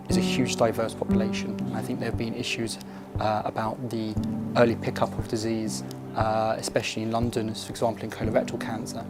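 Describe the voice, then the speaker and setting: A man speaking as a hospital consultant, giving careful, formal and halting interview answers for an educational film. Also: mid-range voice.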